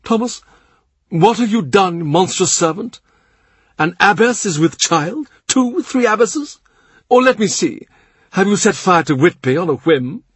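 A grand old churchman full of honeyed, teasing charm, as a man puts him on. He swoops high and playful, his voice slightly husky and close to the mic. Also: lively intonation, unhurried, dry recording, full character voice. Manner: theatrical, high and coaxing, mock-lamenting. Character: affable, teasing older male cardinal, honeyed and grand.

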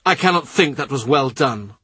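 A man's voice: medium pitch, lively intonation, moderate pace, pushed and bright, slightly rough, dry recording, pitched above the performer's own voice. A man reading the part of a troubled middle-aged king who dwells gloomily on a dream of his dead brother. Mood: brooding. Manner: brooding, troubled, speaks at length. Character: weary anxious middle-aged male king.

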